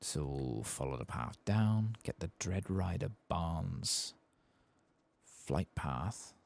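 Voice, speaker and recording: A man giving soft-spoken ASMR commentary over a video game, murmuring and sometimes slipping toward a whisper. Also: sits low, expressive, slow. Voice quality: soft, husky, gravelly. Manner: murmuring to himself, partly whispering.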